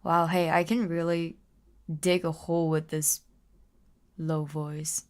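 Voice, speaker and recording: A woman live streamer chatting casually while slightly ill, her voice rough and lower than usual, giggling often between rambling remarks. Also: little pitch movement, takes it slowly.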